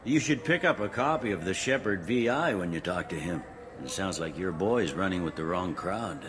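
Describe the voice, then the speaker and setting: An actor playing a matter-of-fact, world-weary police officer in a video game, briefing in a dry, informal, clipped way. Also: male voice, low voice, animated, normal speed, noisy background.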